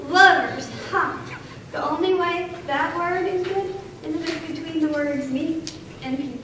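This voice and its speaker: A woman's voice: very high-pitched, measured pace, fairly bright tone. A woman delivering lines in a staged readers theater performance. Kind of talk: staged dramatic reading. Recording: very echoey hall, distant mic, faint noise.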